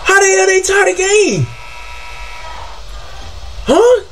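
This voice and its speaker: A young man with a deep voice reacting casually to basketball highlights, hollering and trash-talking in slang from a small room on a desk mic. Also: slow, a little hiss or hum, low-quality recording. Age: young adult. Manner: excitable, yelps and hollers, slangy trash talk. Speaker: sports reaction streamer. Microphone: webcam and desk mic.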